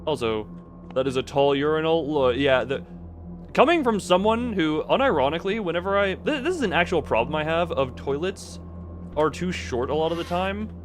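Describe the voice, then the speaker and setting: Animated, rambling man streaming a game. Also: a little high, normal speed, slight background noise. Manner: rambling, easily sidetracked, stumbles over words. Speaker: live streamer. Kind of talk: casual gaming livestream chat, reading game notes aloud.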